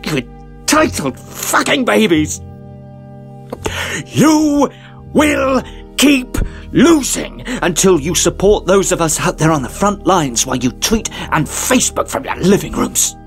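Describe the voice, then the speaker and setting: A self-pitying, betrayed man in a mock-tragic monologue. The delivery is overwrought and theatrical, with a fairly high male voice that swings widely between hushed grievance and outrage. Music plays softly behind it. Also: very animated, slow, full character voice. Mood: outraged, pleading. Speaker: trained professional actor. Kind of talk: mock-tragic dramatic reading of a written rant. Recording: voice over mournful classical music.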